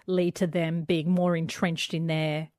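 An Australian woman with a fairly low voice speaks as a guest author in a podcast interview. She talks with animated, candid emphasis. The recording is dry and close. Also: unhurried, no echo. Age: young adult. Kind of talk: conversational interview.

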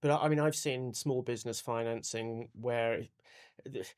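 Host: man, mid pitch, upbeat and conversational, keeps the interview moving. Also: fairly even intonation, normal speed, clear, resonant tone. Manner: upbeat, guiding questions, frequent "you know". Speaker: podcast host. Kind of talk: hosting a business podcast.

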